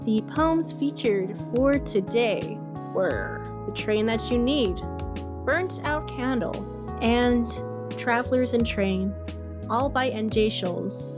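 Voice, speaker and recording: A woman's voice: on the high side, takes it slowly. A woman reading scripted podcast credits and outro in a playful, wry manner. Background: music behind the voice.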